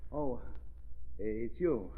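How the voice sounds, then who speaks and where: A man's voice: mid-range voice, animated, drawn-out words, slightly rough, full character voice. An actor playing a secretive, brusque host of a mansion in a 1930s radio drama, evasive and hesitant in his speech. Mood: flustered. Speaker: host of the house. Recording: tinny scratchy 1930s radio broadcast.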